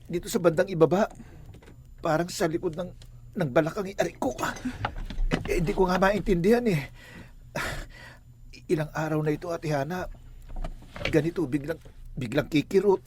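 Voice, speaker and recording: An actor playing a simple, eager young brother-in-law, hesitant and excitable, in a religious radio drama. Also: male voice, on the high side, normal speed, long pauses, slight background noise, lightly acted. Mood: pained.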